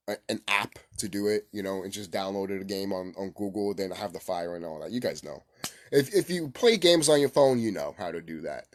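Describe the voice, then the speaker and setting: A man hosting a casual, unscripted gaming vlog, rambling excitably, repeating himself and swearing casually. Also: low voice. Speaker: gaming video host.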